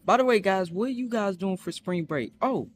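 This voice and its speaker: A man's voice: animated, moderate pace, slight background noise. Rambling young man telling a storytime. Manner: rambling, many fillers and restarts; acts out quotes with high voices. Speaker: vlogger. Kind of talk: casual storytime vlog.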